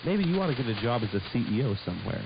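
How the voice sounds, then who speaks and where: Rambling male pirate-radio host, mid-pitched, casual, stumbling, heard through hissy shortwave. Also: sing-song, rapid-fire, creaky, muffled recording. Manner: rambling, hesitant with frequent stumbles. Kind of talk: casual talk show banter.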